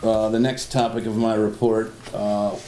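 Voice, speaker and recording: A man's voice: on the low side, flat delivery, measured pace, mellow. A man chairing a town board meeting and giving a report. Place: indoor public meeting room. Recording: echoey meeting room with clear background noise.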